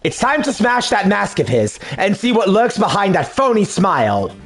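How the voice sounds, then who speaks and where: A man voicing a composed, formal male prosecutor who questions a suspect in precise, measured phrases. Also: sits high, wildly swooping pitch, soft background music, heavily acted. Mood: probing. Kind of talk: fan dub of a video game.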